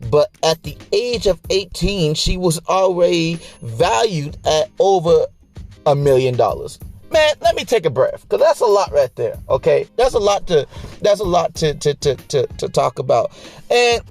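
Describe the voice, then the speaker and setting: A man's voice: fairly high pitch, very animated, normal speed, a little mellow, soft background music. A man in his late twenties hosting a casual motivational podcast, talking colloquially to listeners and repeating phrases for emphasis. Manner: colloquial, conversational address to listeners. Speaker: podcast host.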